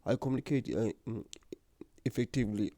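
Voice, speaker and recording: A man's voice: mid-range voice, fairly even intonation, brisk, warm tone, no room sound. A young man from South Africa who stutters, talking earnestly and unhurriedly about himself as the host of his own channel. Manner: stutters, with repeated words and blocks. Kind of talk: personal vlog talk to camera.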